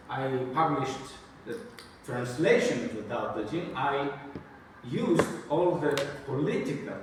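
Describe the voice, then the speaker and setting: A middle-aged Greek-accented man lecturing at a conference, pitched a touch high and speaking very slowly. He halts often, fills pauses and restarts his sentences, with a dry joking edge. He is picked up from far off in a big hall with a long echo and faint room hum. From Greece. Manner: halting, many fillers and restarts, dry self-deprecating humor. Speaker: university lecturer giving a conference talk. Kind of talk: unscripted academic talk. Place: large university lecture hall.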